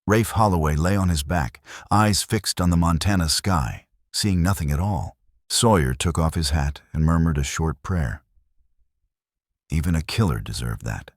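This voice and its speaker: Very deep-voiced man narrating a Western. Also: no echo, studio quality. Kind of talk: scripted dramatic Western story narration.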